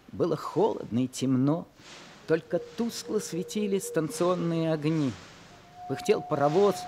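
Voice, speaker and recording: An earnest teenage boy narrator. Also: sits high. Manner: earnest, measured storytelling. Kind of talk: scripted narration in radio drama.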